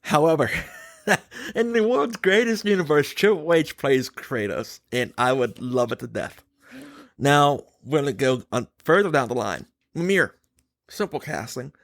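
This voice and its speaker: Higher-voiced man chatting on a podcast. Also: animated, a little slow, dry recording. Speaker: podcast host. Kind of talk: casual opinionated chat.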